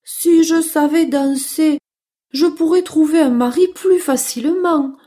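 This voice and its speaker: A woman's voice: high-pitched, measured pace, warm tone, voice pushed up. A woman voicing a sweet, polite, eager little female mouse with an exclamatory, sing-song delivery in a children's story. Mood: wistful.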